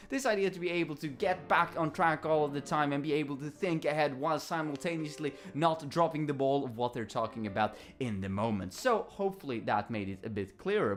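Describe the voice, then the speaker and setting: A man with a Swedish accent vlogging in a casual, rambling way, often correcting himself and filling pauses with ums and uhs. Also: on the high side. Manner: rambling, self-correcting, lots of fillers and restarts. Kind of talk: casual talking-head reflection.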